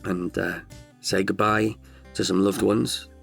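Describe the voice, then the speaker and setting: A man's voice: sits low, little pitch movement, slow pace, vocal fry, faint music behind, exaggerated voice. A man in his thirties performing an intimate, chatty monologue as an older, wiser self tenderly addressing his past self. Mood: candid, reflective. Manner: chatty, wry, heartfelt. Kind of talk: intimate confessional audio monologue.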